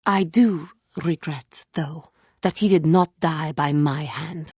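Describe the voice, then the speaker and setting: Brooding alien jedi warrior woman for a video game, lowish raspy voice, very formal and remorseful, dry studio sound. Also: a little mellow, no echo, full character voice. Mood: regretful, conflicted. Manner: formal, solemn, measured speech without contractions. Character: brooding, remorseful female Cathar alien Jedi warrior, earnest and self-doubting. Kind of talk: video game character acting.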